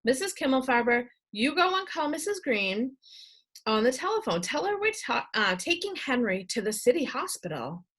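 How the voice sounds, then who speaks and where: A woman reading aloud plays a storybook school nurse, brisk and in command. She stays calm but firm, with a husky, lively delivery. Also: sits high, pitched above the performer's own voice, full character voice. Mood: firm, urgent.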